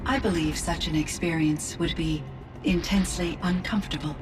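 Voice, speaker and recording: A woman playing a gentle, curious artificial intelligence in a video game, speaking in measured, precise, formal phrases. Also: on the low side, fairly even intonation, distant mic, over music, close to an everyday voice, poor audio. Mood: thoughtful, earnest. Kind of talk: scripted video game dialogue.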